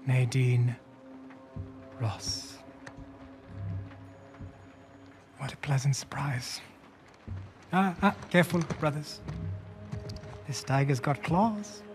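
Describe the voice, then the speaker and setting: An actor playing a menacing, mock-courteous rebel warlord in a video game, theatrical and taunting in his deliberate phrasing. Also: medium pitch. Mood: mock-courteous menace. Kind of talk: cinematic video game acting. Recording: dramatic music behind.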